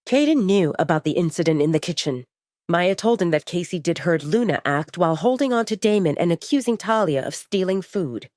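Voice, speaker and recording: A woman's voice: on the low side, animated, a little fast, darkish tone, dry recording, clean studio sound. A woman reading scripted dramatic fiction as the narrator of an audio story.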